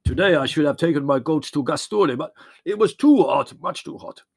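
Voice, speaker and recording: A man voicing an excitable, hearty old Greek shepherd in a storybook, emphatic and given to repeating himself. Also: fairly high pitch, moderate pace, creaky, slightly rough, no room sound, clean studio sound, voice pushed up, full character voice. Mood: expansive, rueful. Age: older. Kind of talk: storybook character voice.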